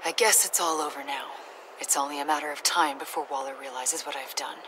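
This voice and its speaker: An actress playing an earnest, troubled female government agent in a video game, speaking in a hushed, hesitant manner. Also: sits low, fairly even intonation, fairly quick, natural voice. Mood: resigned. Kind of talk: naturalistic video game acting. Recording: clear ambient background noise.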